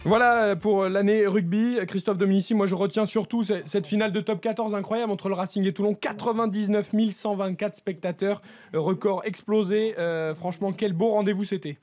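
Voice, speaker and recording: A man hosting a lively sports radio programme at a studio desk microphone. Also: sits high, fairly quick, soft background music. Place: radio studio with several guests.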